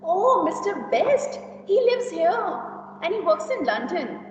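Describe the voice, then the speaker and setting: A woman performs a tactless young gossip in an amateur stage reading. The voice is high and very animated, taking its time over each judgment, in a muffled, echoey hall heard from far off. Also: slow pace, slight background noise, heavily acted. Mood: gossipy, scornful. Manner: exaggerated, animated, giggly reactions. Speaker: teacher acting in a classroom reader's theater. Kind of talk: amateur reader's theater performance.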